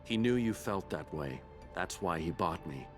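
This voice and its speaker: This is a resentful, conflicted game character, a turncoat friend. His emphatic voice swings widely in pitch, with faint music behind it. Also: animated, unhurried. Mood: bitter, defensive. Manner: bitter, accusing, emphatic. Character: conflicted, resentful man who betrayed his old friend. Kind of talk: video game voice acting.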